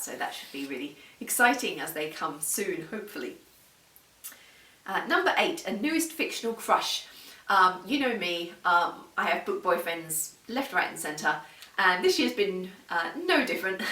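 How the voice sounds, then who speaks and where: Roomy, distant mic; woman book vlogger, lowish voice, casual and enthusiastic. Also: sharp tone. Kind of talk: casual, chatty book talk to camera. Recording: roomy echo, distant microphone.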